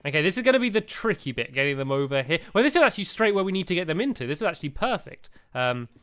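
A man's voice: a little high, racing, smooth. A man casually talking through a video game with a co-player, rambling with lots of fillers and restarts. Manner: rambling, filler-heavy, breaks into excited yelps. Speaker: gaming co-host. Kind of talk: casual gameplay chat.

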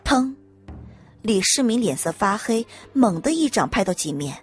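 A woman reading scripted dramatic audiobook narration in an expressive storytelling manner. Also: a little high, normal speed, soft background music.

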